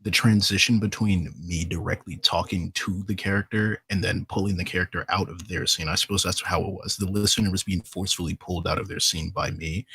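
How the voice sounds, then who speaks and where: A man on a podcast panel, explaining his performing work in a reflective, measured and wordy way. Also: deep voice, vocal fry, bright, forceful tone, breathy edge, no echo, poor audio.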